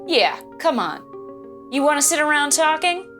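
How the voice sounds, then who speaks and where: An actress playing a terse, competitive crew member in an audio drama, clipped and dry. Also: female voice, high-pitched, sing-song, moderate pace, over music.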